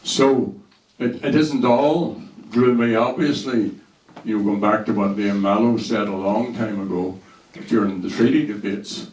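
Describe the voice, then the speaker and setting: A man's voice: lowish voice, a little slow, a little mellow. A man chairing and speaking at a public meeting, plain-spoken and earnest, recorded from far off in a large hall.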